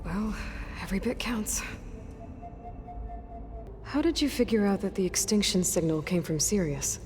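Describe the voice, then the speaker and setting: A woman plays a young warrior heroine in a game cutscene. The speech is natural, blunt and inquisitive, with a wry, determined edge. Long reverb trails the voice and music plays clearly underneath. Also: medium pitch, animated, a little slow, close to an everyday voice. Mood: concerned, probing. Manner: direct, plain-spoken, probing. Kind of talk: scripted video game dialogue, naturalistic.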